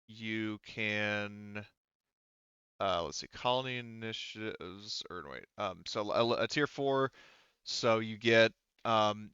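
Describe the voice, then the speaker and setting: A man with a low, clean voice, recorded dry and slightly muffled. He works as a game master, talking casually and hesitantly as he thinks through the rules aloud. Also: fairly bright tone, clean tone, dry recording. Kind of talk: running a tabletop roleplaying game, casual.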